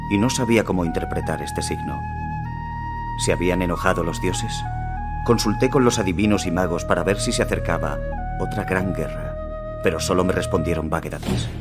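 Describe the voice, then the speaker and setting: A man with a Castilian Spanish accent playing a grave Aztec jaguar warrior, narrating his campaigns in a measured way for a strategy game cutscene. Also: sits low, lightly acted. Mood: solemn, brooding. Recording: music under the voice. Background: music.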